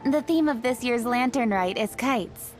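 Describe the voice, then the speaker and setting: An actress playing an earnest young official in a video game, speaking in a measured, explanatory way. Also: female voice, a little high, loud music behind the voice, natural voice. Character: young official woman, earnest, proud of tradition.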